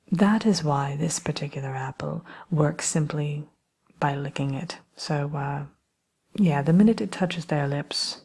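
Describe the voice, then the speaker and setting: Soft whispering evil queen, acted by a woman. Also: low-pitched, exaggerated voice. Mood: gloating, confiding. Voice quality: soft, breathy, often whispered. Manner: unhurried, genteel, slyly menacing. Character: wicked Evil Queen shopkeeper, sly and genteel. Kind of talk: whispered ASMR role play.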